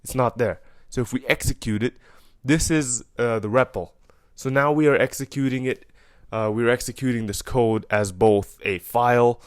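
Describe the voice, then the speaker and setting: A man's voice: medium pitch, normal speed, clear, resonant tone, no echo, studio quality. A young man with an Egyptian accent, casually walking through a coding project he built, with fillers and self-corrections. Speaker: programmer explaining his project.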